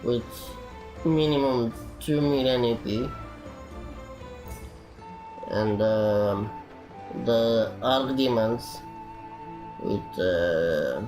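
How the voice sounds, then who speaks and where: A man livestreaming a game with casual, rambling chat in halting phrases. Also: mid-range voice, music in the background, low-quality recording. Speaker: game streamer.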